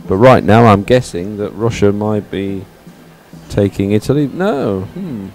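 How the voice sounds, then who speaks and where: A man's voice: fairly low pitch, lively intonation, unhurried, a little mellow, rough recording. A man anchoring live sports commentary, conversationally announcing results and what is coming up.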